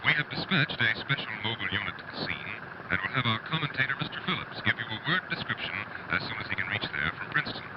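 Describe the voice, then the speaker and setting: Formal bulletin announcer, rapid, urgent, old lo-fi radio sound. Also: male voice, fairly low pitch, sing-song. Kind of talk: 1930s radio news bulletin. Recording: noisy lo-fi old radio broadcast.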